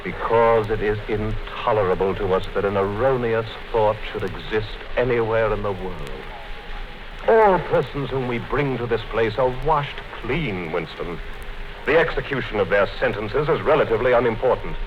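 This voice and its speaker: An actor in a 1950s radio play playing a calm, coldly menacing male interrogator who speaks in a measured way. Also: on the low side, close to an everyday voice. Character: cold, patient male party interrogator, middle-aged. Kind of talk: 1950s radio drama acting. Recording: dull 1950s radio broadcast with hiss.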